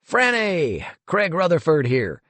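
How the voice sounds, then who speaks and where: A man's voice: a little high, huge pitch swings, slow pace, fairly bright tone, no echo, voice pushed up, exaggerated voice. A man voicing a smug, pushy male museum executive who talks in a breezy, condescending way.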